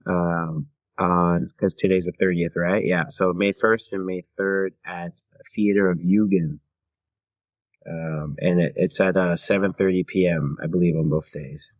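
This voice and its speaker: Deep-voiced man in casual conversation. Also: steady tone, dry recording, poor audio. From Canada. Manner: halting, thinking aloud in short phrases. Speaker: guest, singer-songwriter and theater performer.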